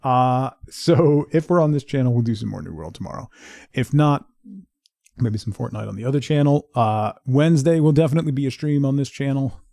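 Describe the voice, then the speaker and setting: A man's voice: mid-range voice, a little fast, creaky, dark tone, dry recording, clean studio sound. A middle-aged man casually chatting on a game livestream in a rambling, joking, mock-scolding way. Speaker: game streamer. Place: indoors at home. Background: faint music.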